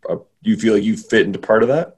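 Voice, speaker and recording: A man's voice: lowish voice, fast talker, a little mellow, slightly rough. A man from Alberta, Canada, hosting a casual chat and rambling through questions, full of "like". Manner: rambling, heavy use of "like". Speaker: host.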